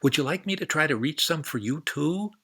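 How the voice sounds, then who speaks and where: A man voicing a gentle, kind female giraffe, speaking softly and half-whispered. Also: fairly high pitch, lively intonation, fairly quick, husky, pitched above the performer's own voice, full character voice.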